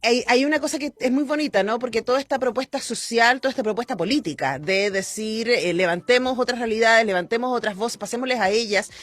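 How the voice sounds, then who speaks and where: A woman's voice: fairly high pitch, a little fast, hardly any pauses, no room sound. A woman with a Chilean accent interviewing conversationally as a radio host, reflective and often seeking agreement with tag questions.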